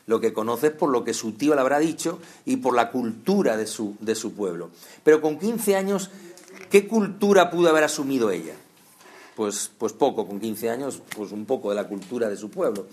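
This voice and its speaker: A man's voice: medium pitch, animated, moderate pace, a little mellow. Chatty preacher, man. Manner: conversational, addresses listeners directly with rhetorical questions. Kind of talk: sermon.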